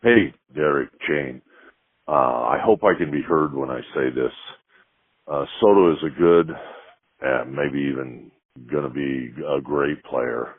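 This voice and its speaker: A man leaving a halting, plain-spoken voicemail with his opinion on sports. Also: very deep voice, breathy edge, no echo. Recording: muffled narrowband phone voicemail.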